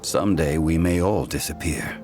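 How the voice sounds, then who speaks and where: Casting: ancient god in mortal form, fantasy game. Extremely low voice, calm, formal, philosophical, music behind. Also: male voice, moderate pace. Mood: solemn, reflective. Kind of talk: scripted fantasy game dialogue.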